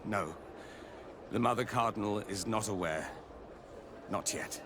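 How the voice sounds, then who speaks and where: A man's voice: on the low side, measured pace, background noise, lightly acted. A man voicing a pious, evasive inquisitor in a video game, haughty and indignant in a formal manner. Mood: grudging.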